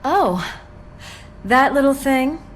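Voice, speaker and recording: Policewoman, video game, fairly high pitch, wry, supportive, informal, faint background noise. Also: expressive, slow. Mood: wry, caring. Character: supportive, teasing policewoman, loyal partner. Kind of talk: video game cutscene acting.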